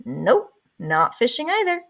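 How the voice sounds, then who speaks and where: A woman's voice: fairly high pitch, huge pitch swings, a little slow, gravelly, fairly bright tone, voice pushed up, exaggerated voice. A kindly, modest mole, voiced by a woman reader from a children's storybook. He speaks in a mild, friendly way, like a good neighbor. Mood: teasing. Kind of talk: children's storybook read-aloud.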